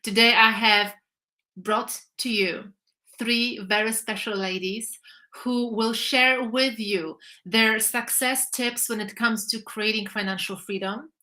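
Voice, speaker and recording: Bright-voiced woman running a zoom workshop, kinda high, big enthusiastic welcomes. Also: fairly even intonation, slow. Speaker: workshop host. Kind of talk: online workshop hosting. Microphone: video call.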